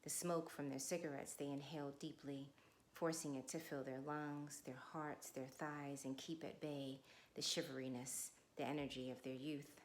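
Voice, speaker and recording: A middle-aged woman with a low-set voice speaks in a measured, deliberate way, like someone sharing literature aloud. Each phrase is placed with care. There is faint room noise behind her. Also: little pitch movement. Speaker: guest reader. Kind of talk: informal introduction, then literary read-aloud.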